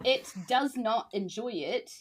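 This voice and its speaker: A woman's voice: a little high, takes it slowly, a crowd in the background. A woman improvising vivid, informal narration as the game master of a tabletop game.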